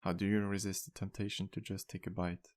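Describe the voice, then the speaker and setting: A man playing a charming, sweet vampire bartender in an ASMR roleplay, speaking softly, politely and earnestly to the listener. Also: sits low, flat delivery, a little fast, close to an everyday voice. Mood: troubled, longing. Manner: soft-spoken, polite, earnest, flirtatious. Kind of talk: ASMR roleplay, intimate one-sided dialogue to listener.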